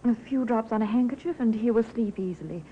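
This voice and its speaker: An actress playing a persuasive, solicitous wife in a 1950s radio drama. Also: female voice, fairly high pitch, a little fast, lightly acted. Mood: anxious, coaxing. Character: persuasive, solicitous wife of an ailing older man. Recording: muffled, hissy old radio recording.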